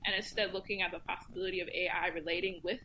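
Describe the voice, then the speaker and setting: A woman's voice: lowish voice, steady tone, quick pace, bright, forceful tone, slight background noise, rough recording. A woman speaking as a podcast guest, reflective and casual, thinking aloud as she talks. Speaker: podcast guest, community commentator. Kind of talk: casual round-table discussion, thinking aloud.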